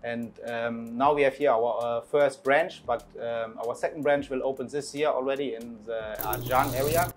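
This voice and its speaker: A man's voice: mid-range voice, fairly even intonation, moderate pace, faint music behind. Hesitant man with filler-heavy speech. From Portugal, raised in Germany. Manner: hesitant, many ums and uhs. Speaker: restaurant partner and investor. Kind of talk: interview answers. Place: restaurant dining area, indoors. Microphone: lapel mic.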